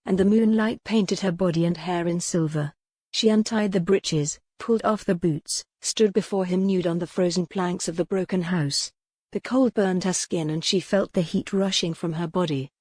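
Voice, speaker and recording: A woman's voice: fairly low pitch, dark tone, no room sound. A woman reading scripted, dramatic historical romance narration as an audiobook narrator.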